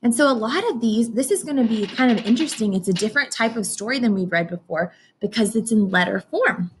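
Animated young woman teaching small children. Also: fairly high pitch, a little fast, a little hiss or hum. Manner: questioning, explaining to children. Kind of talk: read-aloud lesson for young children. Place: classroom, indoors.

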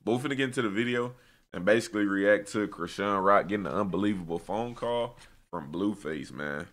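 A man's voice: on the low side, fairly quick, a bit bright. A young American man giving casual, slangy reaction commentary with opinionated, conversational delivery. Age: young adult. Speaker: reaction video host.

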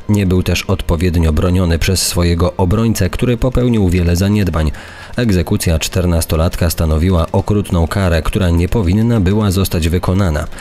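A man's voice: deep voice, darkish tone, natural voice. A man acting a solemn judge, formally reading out a court ruling in a documentary podcast.

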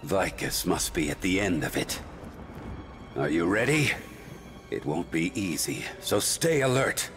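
An actor playing a grave, watchful battle guide in a video game, speaking in a serious, cautioning manner. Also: male voice, low voice, expressive, a little slow, fairly bright tone. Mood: grave warning. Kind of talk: video game character acting. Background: music.

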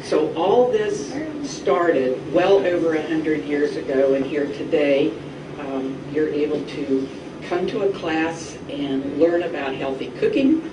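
A woman's voice: low voice, takes it slowly. A woman guest speaker giving an educational talk to students. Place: classroom food lab, replayed on screen. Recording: distant echoey re-recorded playback, noisy room.

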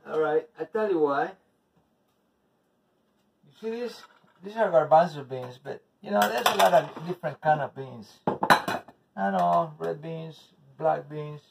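A man's voice: a little high, measured pace, creaky, pauses often, recorded from a distance, low-quality recording. A middle-aged man with a Spanish accent, a native Spanish speaker, talking through a home cooking video with rambling, bitter, profane asides. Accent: Spanish-speaking, English as second language. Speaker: home cook vlogger. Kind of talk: casual cooking video with ranting. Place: home kitchen, indoors. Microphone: camera across the counter. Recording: voice from off-mic with faint noise.

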